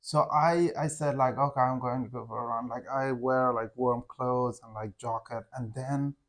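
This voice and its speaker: Young man, English, mid pitch, casual and halting, teacher chatting with learners. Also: steady tone, a bit bright. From Afghanistan. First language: Hazaragi. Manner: halting, searching for words, frequent "like". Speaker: English teacher and channel co-host. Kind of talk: casual conversation with his wife for learners.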